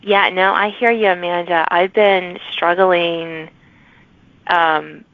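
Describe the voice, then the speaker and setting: A woman's voice: fairly low pitch, steady tone, slow, no room sound. A woman hosting a podcast interview, chatting in a rambling way with many restarts. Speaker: podcast host. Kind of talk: casual interview chat. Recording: dry, dull lo-fi audio.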